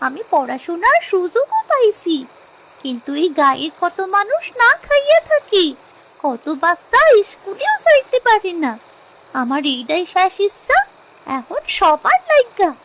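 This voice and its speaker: A woman's voice: very high-pitched, very animated, measured pace, background noise, heavily acted. A woman voicing a kind, hopeful little village girl with an East Bengali accent in a children's cartoon. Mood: earnest, selfless. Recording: music and insect chirps behind. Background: music, crickets.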